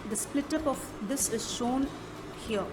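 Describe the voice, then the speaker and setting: A woman presents a software walkthrough in an instructional manner, with halting phrasing. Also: sits high. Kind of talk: step-by-step app walkthrough narration. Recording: music behind the voice. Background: clearly audible music.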